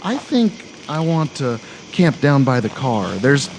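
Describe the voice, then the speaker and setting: Spooked young male camper, animated swooping pitch, crude, profane, excitable, horror audio drama, noticeable background noise. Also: unhurried. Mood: panicky. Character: jumpy, crude stoner camper, young man, easily spooked. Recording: clearly noisy live recording with ambience.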